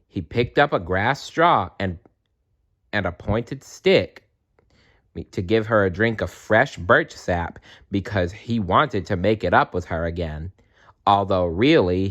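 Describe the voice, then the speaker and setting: Slow, lively male storybook narrator. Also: lowish voice. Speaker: storybook reader. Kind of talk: read-aloud children's story narration.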